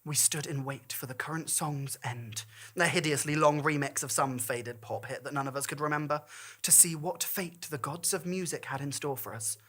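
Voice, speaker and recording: A woman's voice: deep voice, normal speed, fairly bright tone. A woman performing a comic storytelling monologue in a wry, campy, theatrical manner.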